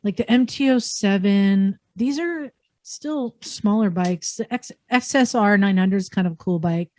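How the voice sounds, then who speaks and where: A woman hosts a relaxed, informal group talk show. Her voice sits at a middle pitch. The sound is dry, with hardly any room around it. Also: measured pace, no echo. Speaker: podcast host. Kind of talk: casual group chat about motorcycles.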